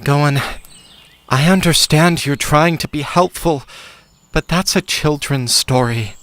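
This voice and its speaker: A man's voice: on the high side, measured pace, fairly bright tone. A man playing a wounded, frustrated son who yearns for love, in an audio drama. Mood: hurt, frustrated.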